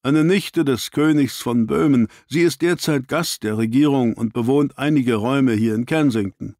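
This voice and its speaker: Gentleman doctor companion, voiced by a male narrator: earnest, well-informed, formal, mid-pitched, audiobook mystery. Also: normal speed, no echo, studio quality, natural voice. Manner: formal, explanatory.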